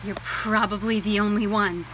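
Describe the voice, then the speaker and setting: A woman's voice: mid-range voice, steady tone, normal speed, a bit bright, a little hiss or hum, lightly acted, poor audio. A woman playing a weary, earnest, trusting pregnant shop owner in a read-through of a stage musical. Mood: earnest, resolved. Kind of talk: musical table read acting.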